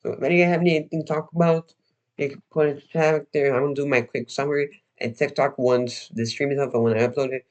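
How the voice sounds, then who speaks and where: A young man hosting a casual livestream, slightly hoarse and losing his voice, talking in a rambling, halting way full of restarts and fillers. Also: mid-range voice, fairly even intonation, darkish tone, muffled recording. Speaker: livestream host.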